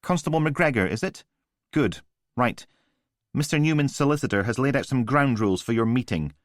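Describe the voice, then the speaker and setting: A man's voice: mid-range voice, expressive, moderate pace, no echo, studio quality, voice pushed up, natural voice. A male narrator voicing a stern woman police sergeant who speaks in clipped, commanding lines.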